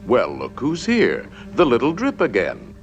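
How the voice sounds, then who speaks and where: A man with a mid-pitched, very lively voice narrates an educational cartoon. His delivery is scripted and theatrical, like a storyteller, with music playing behind him. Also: unhurried, muffled recording. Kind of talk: scripted narration of an animated science film.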